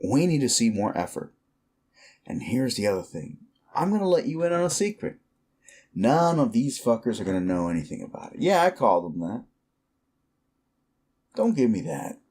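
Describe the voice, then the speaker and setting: A man's voice: medium pitch, sing-song, normal speed, pauses often, a little mellow. A man playing a sleazy, bullying werewolf office boss in an audio roleplay, condescending, cajoling and foul-mouthed as he berates the listener. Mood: conspiratorial, threatening. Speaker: voice actor performing a roleplay.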